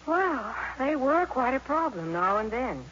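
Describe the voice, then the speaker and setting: An actress playing an indulgent young mother, reminiscing with gentle wryness in a 1950s radio drama. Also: high-pitched, sing-song, a little slow, a little hiss or hum, exaggerated voice. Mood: wry, amused. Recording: muffled vintage radio broadcast with music.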